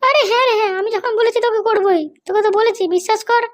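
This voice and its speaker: A woman's voice: very high-pitched, rapid-fire. A woman voicing a bossy, scheming female election candidate in a comedy cartoon. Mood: assuring. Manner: dismissive, assuring. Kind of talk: amateur comedy cartoon dub.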